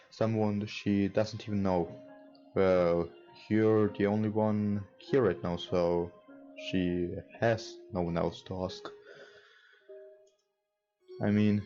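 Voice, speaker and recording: A man hosting a let's play, reading game text aloud haltingly and adding casual commentary. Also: fairly low pitch, dark tone, muffled recording. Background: game music.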